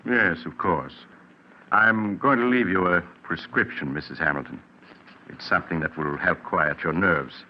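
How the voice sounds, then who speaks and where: An actor playing a calm, kindly family doctor who narrates a 1940s radio drama in a measured, reassuring manner. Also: male voice, deep voice. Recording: muffled, hissy 1940s radio recording.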